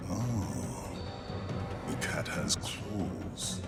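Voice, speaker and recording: A man's voice: sits low, very animated, drawn-out words, exaggerated voice. A man playing a haughty, menacing rogue in a fantasy game, speaking in a taunting, theatrical manner with archaic phrasing. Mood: mocking. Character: haughty mocking male rogue, sly and menacing. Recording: loud music behind the voice.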